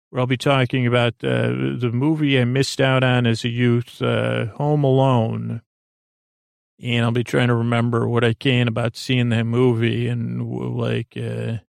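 A man with a creaky, fry-laden voice speaks in a very even, lulling tone on a close, dry recording. He rambles gently and reassuringly like a bedtime podcast host, halting often and drifting through filler words and tangents. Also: medium pitch, no echo. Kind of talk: rambling soothing bedtime monologue.

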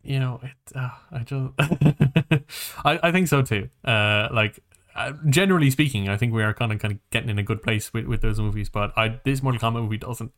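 A man's voice: medium pitch, fairly quick, a bit bright. A man co-hosting a podcast, chatting casually about movies and games in a rambling, emphatic, sweary way. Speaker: podcast co-host. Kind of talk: casual movie and game chat.

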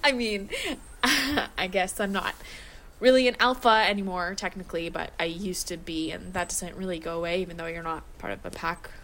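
A woman's voice: fairly low pitch, steady tone, a bit bright, slight background noise. An actress playing a brash, stubborn, short-tempered female werewolf and former pack alpha in an audio drama, mocking and emphatic. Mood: defensive, casual. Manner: mocking, emphatic, quick to laugh. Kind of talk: audio drama acting, casual banter.

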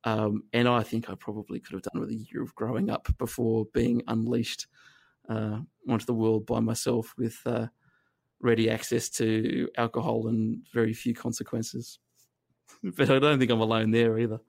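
An Australian man in his thirties, a guest reflecting on his past in a halting, self-deprecating way, with many restarts and fillers. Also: mid-range voice, little pitch movement, vocal fry, a little mellow. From northern New South Wales, Australia. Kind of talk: reflective conversational interview.

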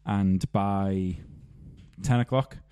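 A man's voice: sits low, very slow, warm tone, clean tone. A man hosting a podcast, rambling through anecdotes and laughing mid-sentence. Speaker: podcast host. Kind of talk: casual chat.

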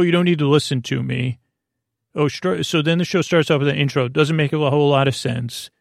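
A man hosting a sleep podcast with an improvised bedtime monologue, meandering, halting and mildly self-deprecating, with a creaky voice. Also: medium pitch, dry recording, studio quality. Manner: meandering, halting, often trailing off mid-sentence.

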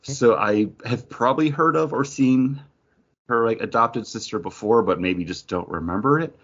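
A man's voice: mid-range voice, normal speed, a bit bright, highs cut off. A man hosting a podcast, giving a casual review in a conversational way with slangy asides. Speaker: podcast host.

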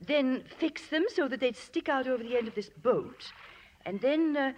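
A woman's voice: sits high, expressive, moderate pace, darkish tone, a little hiss or hum. An actress playing a prim, determined, patriotic lady in a 1950s radio drama, with formal and precise phrasing. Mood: eager, scheming. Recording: dull vintage radio broadcast.

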